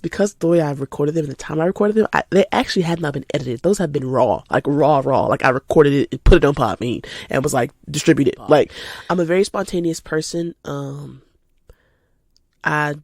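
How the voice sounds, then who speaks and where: An eighteen-year-old woman chats casually and spontaneously as a podcast host, animated and informal, slightly stuffy from a cold. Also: low-pitched, vocal fry, no echo, clean studio sound. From United States, lives in Georgia. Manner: animated, informal, addresses listeners as y'all. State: recovering from a cold.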